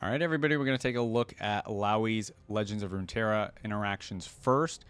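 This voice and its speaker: A man with a fairly low voice hosts a reaction video. His commentary is casual, slangy and excitable, recorded close and dry with soft music in the background. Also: quick pace, clean tone, no echo. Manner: slangy, addresses viewers as guys.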